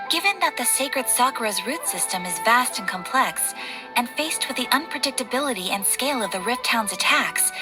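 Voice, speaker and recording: Woman as a polite, high-voiced guild receptionist. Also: close to an everyday voice. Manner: formal, measured, courteous. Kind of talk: video game character acting.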